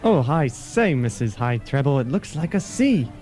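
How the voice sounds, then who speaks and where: A man's voice: medium pitch, very animated, moderate pace, over loud music, natural voice. A man acting a genteel, courtly count with an affected, upper-crust manner in an old-time radio play. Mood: intrigued. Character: genteel, courtly male count, solicitous party guest.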